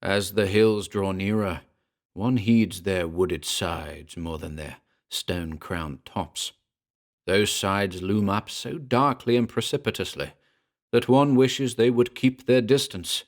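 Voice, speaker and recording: A man giving a scripted narration of a horror story, with measured, deliberate and formal literary delivery. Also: low voice. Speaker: narrator and presenter.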